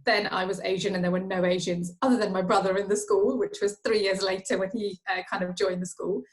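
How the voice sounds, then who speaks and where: Woman guest from Norway, mid-pitched, loose chatty delivery with plenty of repeated words and restarts. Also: steady tone, brisk. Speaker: guest, finance professional. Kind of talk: casual interview conversation.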